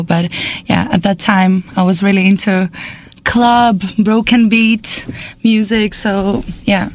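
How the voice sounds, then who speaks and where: A young woman from Estonia speaking English with an Estonian accent, a jazz singer talking as a radio guest, pausing often to search for words and laughing often. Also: mid-range voice, darkish tone. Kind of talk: casual radio interview.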